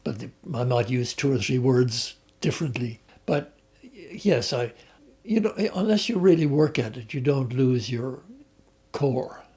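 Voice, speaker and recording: Gravelly older Irish man, reminiscing. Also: medium pitch, animated, measured pace, warm tone. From Ireland. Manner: hesitant, with many restarts and fillers. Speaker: interviewee. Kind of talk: reflective conversational storytelling.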